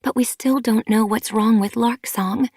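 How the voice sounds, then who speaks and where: A woman reading an audiobook, voicing a calm healer she-cat who speaks gently and reassuringly. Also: on the high side, unhurried, no echo, studio quality, voice pushed up.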